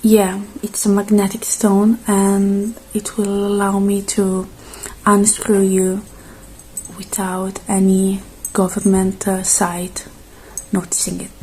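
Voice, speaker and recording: A woman doing a soft-spoken ASMR roleplay, slipping in and out of whispers, with a reassuring, halting delivery in short phrases. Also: mid-range voice, completely flat delivery, a little hiss or hum.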